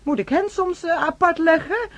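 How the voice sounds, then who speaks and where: An actress playing a firm, admonishing but concerned head nurse in a radio play, speaking with a husky voice. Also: female voice, very high-pitched, normal speed, fairly bright tone. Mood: stern, reproving. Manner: stern, admonishing.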